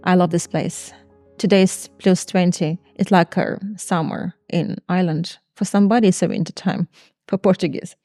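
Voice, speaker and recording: A woman's voice: on the low side, fairly even intonation, moderate pace, warm tone, no room sound, faint music behind. A woman hosting a podcast interview in casual, imperfect English. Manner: non-native English, broken grammar. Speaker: podcast host. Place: Portugal.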